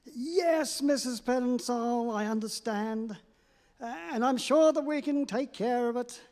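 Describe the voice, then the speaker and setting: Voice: medium pitch, a little slow, darkish tone, natural voice. A performer playing a flustered city editor. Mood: placating. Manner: hesitant, full of uh's, placating. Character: harried male city editor, flustered and apologetic. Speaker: amateur radio play actor. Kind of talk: live staged radio play reading. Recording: long reverb of a concert hall.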